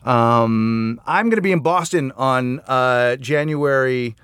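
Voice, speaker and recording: A man's voice: medium pitch, slow, a bit bright, clear, resonant tone, no room sound, studio quality. A middle-aged American man co-hosting a comedy podcast with casual banter and show plugs, profane and self-deprecating.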